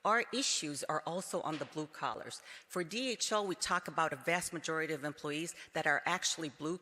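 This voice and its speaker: A man from Brazil, a company executive, giving a measured conference presentation with frequent um fillers. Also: fairly high pitch, pushed and bright. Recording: long reverb of a conference hall.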